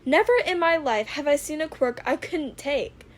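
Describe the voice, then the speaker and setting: Eager teen boy inventor, played by a woman, high-pitched, emphatic, earnest, easily worked up, amateur audio drama. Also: lively intonation, moderate pace. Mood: agitated. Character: eager, excitable teenage boy gadget inventor.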